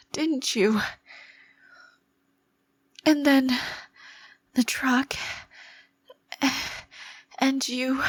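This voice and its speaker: A woman playing an obsessive, possessive yandere girlfriend, menacing yet fragile and devoted, in a dramatic, halting ASMR roleplay style. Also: high-pitched, no room sound.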